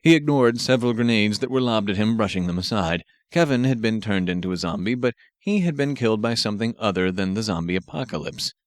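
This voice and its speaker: A man's voice: lowish voice, expressive, quick pace, hardly any pauses, dry recording, studio quality. A man narrating an action story as a scripted audiobook reading.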